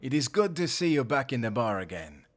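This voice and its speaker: A man with a Spanish accent playing a suave, smug, flirtatious gentleman warlock in an audio roleplay, formal and condescending. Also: medium pitch, very animated, brisk. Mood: warm, sympathetic. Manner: formal, smooth-talking, condescending.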